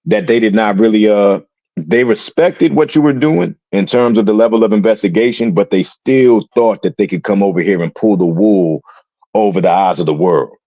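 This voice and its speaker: A very deep-voiced man talking on an online show. He often hesitates and restarts as he builds his points. His audio is dull and lo-fi, with no room echo at all. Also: dark tone. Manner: halting, with many restarts and fillers. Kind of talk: casual talk show discussion. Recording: dry, dull lo-fi mic.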